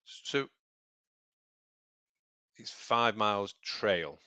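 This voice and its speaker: A man's voice: fairly low pitch, animated, very slow, sharp tone, dry recording. An English man co-hosting a casual podcast chat, a bit nasal from a cold, joking around. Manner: joking, chatty. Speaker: podcast co-host.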